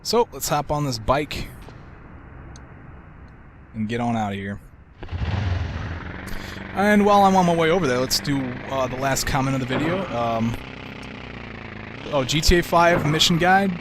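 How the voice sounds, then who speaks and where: Soft-spoken man narrating a game walkthrough. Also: on the high side, a little slow, long pauses, slight background noise. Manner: relaxed, soft-spoken, self-described soothing voice. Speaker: walkthrough gamer.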